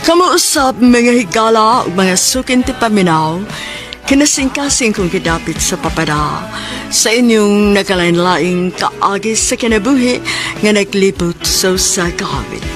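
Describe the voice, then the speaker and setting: A woman's voice: medium pitch, animated, measured pace. A woman with a Cebuano accent hosting and narrating a scripted radio drama program. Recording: music under the voice.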